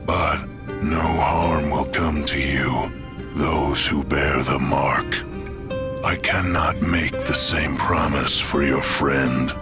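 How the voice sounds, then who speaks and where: A man performs a menacing, mysterious gunslinger for an audio drama. His voice sits at the very bottom of the male range. He speaks very slowly and portentously, with music playing behind him. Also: extremely low pitch, fairly even intonation. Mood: cryptic, solemn. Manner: slow, portentous delivery. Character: enigmatic gunslinging marksman, cryptic, solemn, menacing man.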